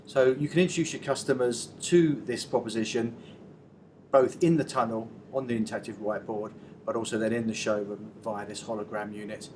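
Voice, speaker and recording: A man's voice: on the low side, fairly even intonation, fairly quick, far from the mic, background noise. A man walking through a product demo in a large showroom, explaining loosely with uhs and restarts. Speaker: product demonstrator. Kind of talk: live product walkthrough. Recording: echoey showroom, off-mic.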